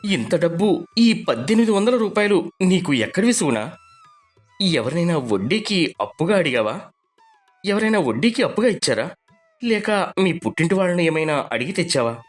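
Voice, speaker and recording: A man's voice: high-pitched, very animated, moderate pace. A man playing an earnest, emotional husband over music. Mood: shocked. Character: humbled middle-class husband and father, emotional, earnest. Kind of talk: dramatized animated moral story.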